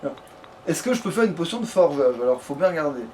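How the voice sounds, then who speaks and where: A man's voice: fairly high pitch, sing-song, fast talker, darkish tone, distant mic, a little hiss or hum. A man chatting casually through a video game let's play, grumbling and swearing in crude slang. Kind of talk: casual gameplay chat.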